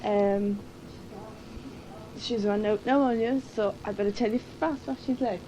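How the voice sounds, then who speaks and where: A woman's voice: mid-range voice, steady tone, a little slow, mellow, poor audio. A teenage girl from Cork with a Cork Irish accent, chatting and teasing her friend on a tape letter, giggling often. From Ireland. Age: late teens. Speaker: schoolgirl recording a tape letter. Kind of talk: casual chat recorded on a home cassette. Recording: hissy 1980s cassette tape.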